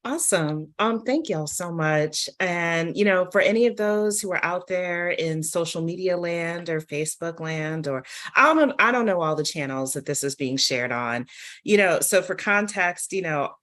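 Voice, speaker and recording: A woman's voice: lowish voice, animated, moderate pace, fairly bright tone. A woman hosting a panel, talking informally and addressing the group directly in a casual discussion style. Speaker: host and author leading a panel discussion.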